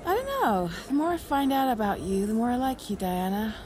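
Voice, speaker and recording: A brash, tipsy best friend in a naturalistic audio drama. Her voice is fairly high and swings widely in pitch, with a blunt, playful, teasing delivery. Music is clearly heard behind her. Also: very animated, a little fast. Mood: bold, flirty. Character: bold, teasing, tipsy female confidante at a bar.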